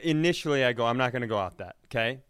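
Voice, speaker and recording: A man co-hosting a podcast, telling self-deprecating, profane comic stories in an animated, exasperated way. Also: mid-range voice, racing, clean tone, dry recording, clean studio sound. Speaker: podcast co-host. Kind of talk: comedic storytelling in casual podcast chat.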